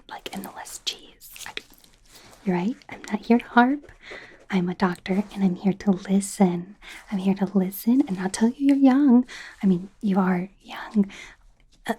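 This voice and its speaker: A friendly young werewolf doctor played by a woman speaks in an ASMR roleplay whisper, breathy and soft. The tone is dark and relaxed, while the delivery is hesitant, casual and a little flustered. She reassures in an awkward, rambling way. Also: medium pitch. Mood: sympathetic, awkward.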